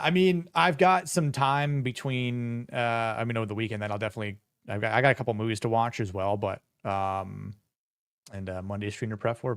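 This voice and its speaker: A man's voice: lowish voice, no room sound. A man hosting a livestream, chatting casually and rambling with many fillers and restarts into a close mic. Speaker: streamer and show host.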